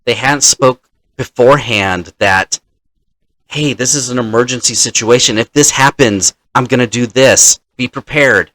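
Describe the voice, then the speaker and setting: A man's voice: mid-range voice, measured pace, fairly bright tone, dry recording, poor audio. A man hosting as a dungeon master, telling the story of a game session in an explanatory way and acting out players' lines.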